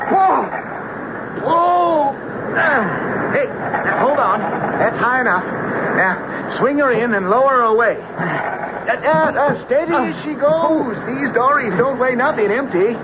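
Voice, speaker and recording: A man's voice: very high voice, lively intonation, takes it slowly, long pauses, heavily acted. An actor playing a plain-spoken, teasing young fisherman in folksy, salty radio drama style. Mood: brisk, busy. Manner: folksy, salty seafaring talk with ain't and afore. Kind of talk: old-time radio drama acting. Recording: muffled, noisy vintage radio recording.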